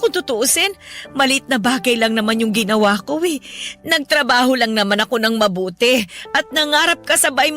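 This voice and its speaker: High, bright, proud Filipino mother. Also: exaggerated voice. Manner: effusive, motherly, emotional. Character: proud, humble, hardworking pedicab-driver mother of a graduate. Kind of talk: radio drama acting.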